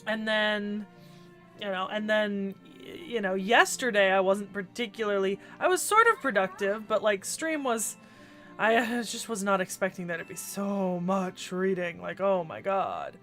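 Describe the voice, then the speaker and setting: A woman's voice: medium pitch, lively intonation, measured pace, fairly bright tone, faint music behind. Congested woman rambling on a game stream. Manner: rambling and chatty, with many restarts and casual swearing. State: stuffy and congested from a cold. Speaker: streamer playing a video game. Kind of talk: casual livestream chat while gaming.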